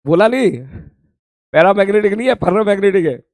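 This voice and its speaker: A male physics teacher with a hoarse, worn throat talks to his students in a live-streamed class. His voice sits a little high for a man, and he chats freely, repeats his greetings and calls them "beta". The sound is close and dry. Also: fairly quick, a little mellow, no echo.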